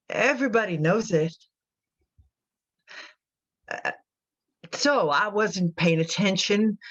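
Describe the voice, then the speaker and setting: Radio play role, female actor: troubled, pious mother in prison, slow brittle confession, folksy phrasing, slightly dull sound. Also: medium pitch, fairly bright tone, lightly acted. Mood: uneasy, defensive. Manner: halting, wistful, folksy, brittle. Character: devout, unsettled imprisoned mother recounting her crime. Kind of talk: dramatic confessional monologue.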